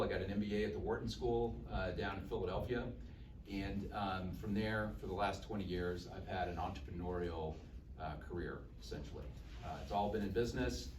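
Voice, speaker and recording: A man in his fifties with a low voice gives a relaxed campaign talk, full of "uh"s and self-deprecating jokes. He sounds far from the mic in an echoey room, with steady noise underneath. He is from Dallas, Texas. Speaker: political candidate. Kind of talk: campaign speech to a local group.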